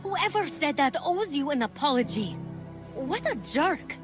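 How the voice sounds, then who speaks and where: An earnest young heroine from a video game. She is frank and encouraging, with a high, very lively voice. Music sits behind her. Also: measured pace. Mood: supportive, teasing.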